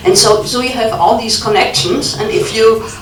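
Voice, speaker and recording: A woman in the audience giving a long earnest comment, halting with many ums. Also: fairly high pitch. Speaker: audience member. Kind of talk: conference Q&A comment. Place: conference hall. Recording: echoey hall, distant mic, faint noise.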